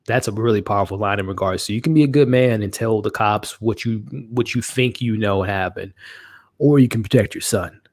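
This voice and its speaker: A man's voice: fairly low pitch, no echo, clean studio sound. A man hosting a live watch-along stream, a bit nasally from allergies, talking casually in a rambling way with many restarts. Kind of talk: casual live-stream commentary, reading chat.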